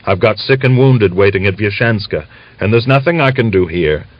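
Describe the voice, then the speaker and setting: A man's voice: on the low side, lively intonation, moderate pace, no echo. A man reading an audiobook, voicing a weary, blunt country doctor. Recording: dull lo-fi audiobook recording.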